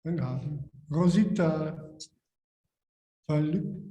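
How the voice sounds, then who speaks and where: Brisk man hosting in an echoey room. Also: on the high side, dark tone. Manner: brisk, calls on participants by name. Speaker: company founder hosting a webinar. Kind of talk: moderating a video call.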